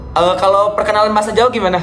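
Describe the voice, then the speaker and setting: Young male vlogger, high voice, talkative and encouraging, echoey room. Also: little pitch movement, rapid-fire. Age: young adult. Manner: chatty, addresses viewers as guys, encouraging. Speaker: vlogger host. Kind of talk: casual video call chat. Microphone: video call. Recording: echoey room with faint music.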